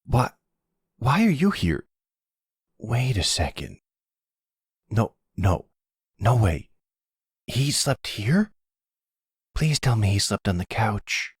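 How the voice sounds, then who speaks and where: A man's voice: lowish voice, very animated, takes it slowly, long pauses, slightly rough, no room sound, clean studio sound, pitched above the performer's own voice, exaggerated voice. A man playing a sulky, defensive young crook, a grown son who protests and hesitates, in an ASMR roleplay drama. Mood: incredulous, sulky.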